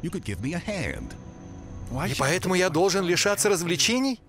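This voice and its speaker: An anxious teenage boy from a cartoon. His voice sits high and he sounds uneasy. Music plays clearly behind him. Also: animated, a little slow. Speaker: voice actor in a dubbed cartoon. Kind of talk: animated series dubbing.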